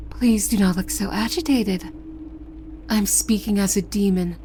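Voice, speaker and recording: A woman acts out a yandere demon maid, utterly devoted and possessive of her master. She speaks at a very slow pace in a mid-pitched voice, formal and servile with a threat beneath it, often dropping into whispers. It is an ASMR roleplay with clearly audible background noise. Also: mellow. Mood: soothing, quietly menacing.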